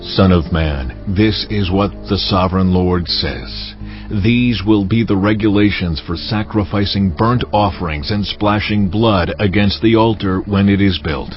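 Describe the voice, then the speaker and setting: God, very deep, solemn voice. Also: male voice. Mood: solemn, commanding. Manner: solemn, deliberate, authoritative. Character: God speaking solemnly to his prophet, commanding and stern. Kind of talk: dramatized scripture reading. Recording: dull sound over music bed.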